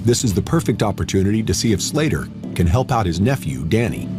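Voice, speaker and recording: A man's voice: fairly low pitch, sing-song, fast talker. A man reading scripted documentary narration. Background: loud music.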